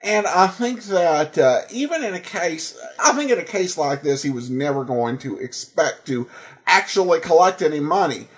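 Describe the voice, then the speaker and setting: A male podcast host with a slightly high voice gives commentary. His pitch swings in a lively way, with earnest, uneven emphasis. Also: moderate pace. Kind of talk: podcast commentary and sponsor read.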